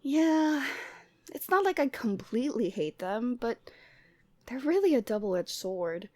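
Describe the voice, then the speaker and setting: A woman playing a Cupid who is the listener's lovestruck best friend, in a conversational, expressive roleplay audio drama. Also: fairly high pitch, measured pace, no room sound. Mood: teasing, chatty. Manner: conversational, teasing, emotionally expressive.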